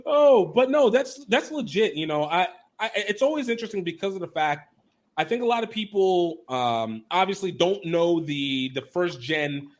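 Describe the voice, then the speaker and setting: A man hosting a casual interview podcast. He chats in a lively, laughing way and acts out quoted voices with big jumps in pitch. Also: moderate pace. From Nigerian family, raised in America. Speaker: podcast host.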